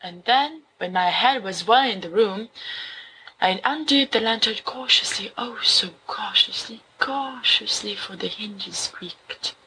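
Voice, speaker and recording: A woman voicing a young male wizard student who reads a horror story aloud to his friends, slow and hushed at times. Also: medium pitch, slight background noise, poor audio. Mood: dramatic. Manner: slow, hushed dramatic reading. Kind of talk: audiobook fan fiction reading.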